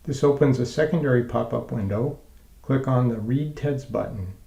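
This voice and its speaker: A man narrating a scripted software tutorial in a measured, methodical, step-by-step way. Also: mid-range voice, vocal fry, reverberant.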